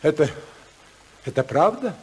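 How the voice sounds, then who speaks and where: An actor playing a shaken, commanding magnate father in a 1950s radio drama, halting and repeating his words. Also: fairly high pitch, very animated. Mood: shaken, doubting. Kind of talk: 1950s Russian radio play acting.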